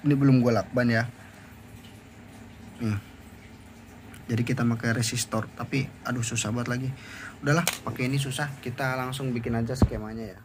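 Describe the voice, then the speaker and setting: A man's voice: mid-range voice, fairly even intonation, brisk, pauses often, noisy background. A young man with a Jakarta accent giving a casual, unscripted how-to in informal slangy talk. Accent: Jakarta Indonesian. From Indonesia. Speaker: motovlogger giving a DIY tutorial. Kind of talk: casual unscripted tutorial chat.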